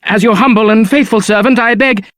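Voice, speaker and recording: High-pitched, stammering royal servant. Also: male voice, fairly quick, a bit bright, dry recording, clean studio sound. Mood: panicked, apologetic. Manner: stammering, apologetic. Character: nervous, stammering, servile royal attendant. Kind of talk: video game character acting.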